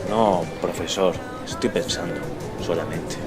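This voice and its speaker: A man's voice: sits low, measured pace. A man playing a hesitant, downcast young apprentice archaeologist in a radio soap, subdued and deferential. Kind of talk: radio soap opera acting. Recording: loud music behind the voice.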